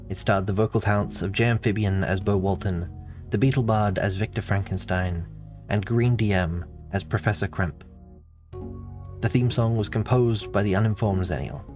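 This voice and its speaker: Guy doing scripted end credits, lowish voice, soft guitar music behind him. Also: normal speed. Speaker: credits announcer. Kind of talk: scripted narration. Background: plucked guitar music.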